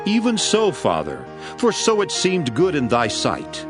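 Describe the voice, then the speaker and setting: A man voicing Jesus in a dramatized Bible reading, solemn and measured with bursts of intensity. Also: fairly high pitch, wildly swooping pitch. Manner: solemn measured delivery, rising to intense exclamations. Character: Jesus, calm grown man teacher, solemn and gentle. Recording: studio voice with music beneath.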